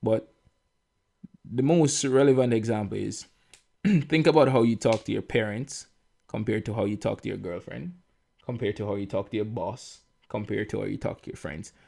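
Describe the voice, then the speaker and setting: A man's voice: on the low side, moderate pace, gravelly, warm tone. A young Jamaican man co-hosting a podcast, chatting casually and explaining things with everyday examples. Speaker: podcast co-host. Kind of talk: casual chat.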